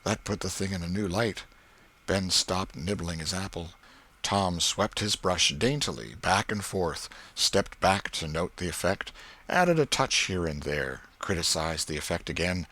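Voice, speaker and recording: A man's voice: low voice, lively intonation, a little slow, a bit bright. A man reading a classic story aloud as an audiobook narrator, in scripted literary storytelling style.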